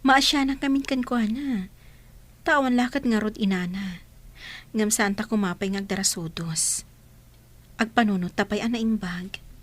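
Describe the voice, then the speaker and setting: An actress playing a kind, coy, motherly woman being courted in an Ilocano radio drama, gentle and teasing in manner. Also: medium pitch, normal speed, pauses often, natural voice. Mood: cautious, sincere.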